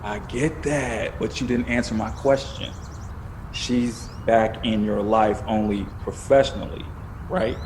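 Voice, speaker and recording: A man's voice: fairly low pitch, steady tone, unhurried, darkish tone, a little hiss or hum, natural voice. A man acting in an audio drama as a blunt, tired cop who needles his friend in colloquial, probing talk.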